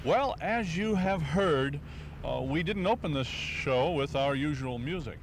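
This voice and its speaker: A man's voice: on the high side, lively intonation, a little slow. Guy hosting a radio road show, asks lots of questions, jokey, noisy car sounds behind. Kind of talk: casual on-location radio interviewing. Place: on location, vehicle and outdoor noise behind. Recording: noisy old home-taped radio, road noise.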